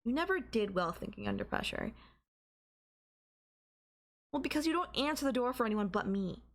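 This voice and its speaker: A woman playing a doting, obsessive and calmly manipulative girlfriend in an intimate ASMR audio roleplay, coaxing and softly teasing. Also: fairly high pitch, normal speed, creaky. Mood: calm, probing. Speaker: voice actor.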